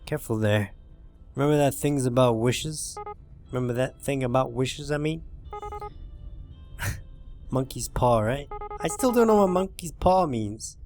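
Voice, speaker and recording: A man's voice: mid-range voice, close to an everyday voice. A man voicing a sick young woman, a bitten passenger in a zombie visual novel, in a hesitant, wry way. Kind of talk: visual novel character dialogue. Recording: music behind the voice.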